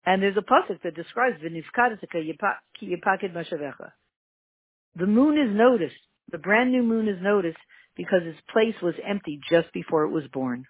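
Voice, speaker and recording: A teacher with a Canadian accent giving an emphatic religious lesson, repeating key words and jumping up in pitch for emphasis. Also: animated, fairly quick, husky, dry recording. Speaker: Torah teacher. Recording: tinny phone-like line, dry.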